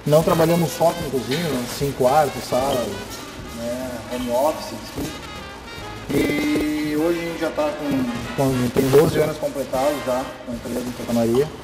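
A middle-aged man describing his furniture store, halting and tagging sentences with questions. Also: medium pitch, poor audio. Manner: halting, frequent tag questions. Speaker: store manager. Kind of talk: casual promotional chat. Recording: music under the voice.

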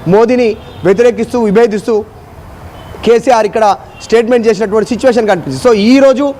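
Guy reporting live news, rattles it off fast, faint street noise behind. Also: high voice, dark tone. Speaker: news correspondent.

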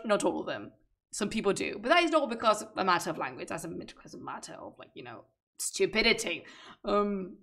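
A young woman from Spain with a Spanish accent, chatting casually and animatedly with her stream viewers from a room at home. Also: mid-range voice. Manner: animated, gestures while talking, chats with viewers. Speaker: streamer, manuscript researcher. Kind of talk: casual livestream chat.

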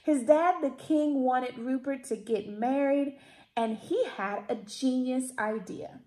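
Woman reading kids storytime, high voice, slow and expressive, bit echoey room. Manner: deliberate, theatrical emphasis. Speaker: library storytime reader. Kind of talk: expressive children's picture-book read-aloud. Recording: roomy indoor echo.

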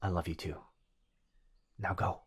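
Casting: male actor as an anxious young boyfriend, protective and earnest, lowish voice, ASMR roleplay. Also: little pitch movement, unhurried, slightly rough, full character voice. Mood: urgent, worried. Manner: urgent, earnest.

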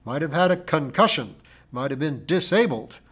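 Man putting on an indignant, high-pitched rural English charwoman. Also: animated, normal speed, fairly bright tone, breathy edge. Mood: severe. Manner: declamatory, rhythmic, dropped g's, indignant. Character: belligerent, self-pitying village cleaning woman, grandly aggrieved.

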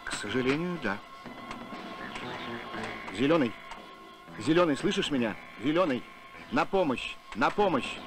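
A man voicing a kind, decisive scientist father on a space expedition in an animated film, with a firm manner. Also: mid-range voice, a little slow, long pauses, soft background music, lightly acted, rough recording. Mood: calm, then urgent. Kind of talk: Soviet animated film acting.

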